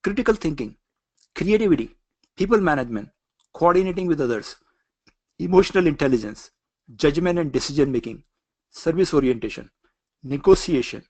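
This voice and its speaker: A man's voice: fairly high pitch, lively intonation, a little fast, long pauses, darkish tone, muffled recording. A middle-aged man from Pakistan, with a Pakistani accent, giving a career coaching lecture in an earnest manner, often repeating phrases and using fillers. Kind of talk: online coaching session lecture.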